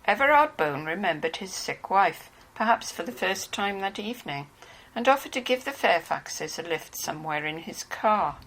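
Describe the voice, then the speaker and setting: A woman's voice: mid-range voice, animated, normal speed, fairly bright tone, rough recording. A woman narrating a novel from a script. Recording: faintly hissy home recording.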